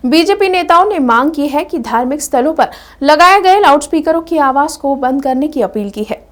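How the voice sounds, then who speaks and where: A woman presenting news headlines in a scripted news-reading style. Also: high-pitched.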